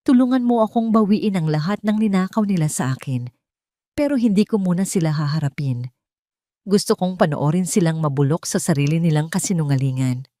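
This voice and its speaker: A woman's voice: fairly low pitch, lively intonation, fairly quick, mellow, dry recording, studio quality, lightly acted. A woman voicing a betrayed wife in an audio drama, wounded yet shrewd, with a controlled, guarded manner. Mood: cold resolve. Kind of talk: Tagalog audio drama dialogue.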